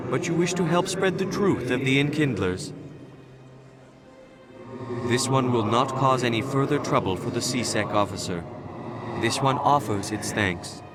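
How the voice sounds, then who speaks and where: A man performs a serene alien jellyfish missionary from a video game. The speech is humble, devout and ceremonious, at a middle pitch, with loud music behind it. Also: lively intonation, measured pace, pauses often, close to an everyday voice. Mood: grateful. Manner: formal, humble, refers to self in third person.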